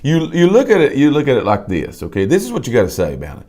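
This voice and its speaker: A man's voice: medium pitch, quick pace. A man, co-hosting a casual live talk show with folksy, emphatic delivery. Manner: animated, folksy preacher-like emphasis. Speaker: co-host.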